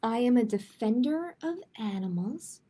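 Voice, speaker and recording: A woman hosting a live picture-book storytime for children, speaking to viewers in simple, deliberate phrases. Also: fairly high pitch, expressive, mellow, a little hiss or hum.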